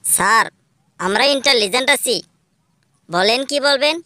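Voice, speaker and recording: A woman's voice: a little high, unhurried, pushed and bright, dry recording, studio quality. A woman voicing a cheeky young pupil in a comedy cartoon. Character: cheeky young pupil with smart-aleck answers.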